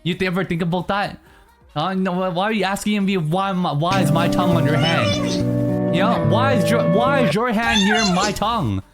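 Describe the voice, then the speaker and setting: A streamer in casual live-stream chatter, teasing chat and answering in mock outrage between low, mumbled musings. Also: normal speed, over music. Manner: reacts to chat, teasing, mock-indignant bursts.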